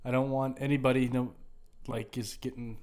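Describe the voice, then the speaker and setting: Man, fast talker, blunt, swears a lot, wisecracks, casual podcast chat. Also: medium pitch, flat delivery, a little mellow. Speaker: podcast co-host.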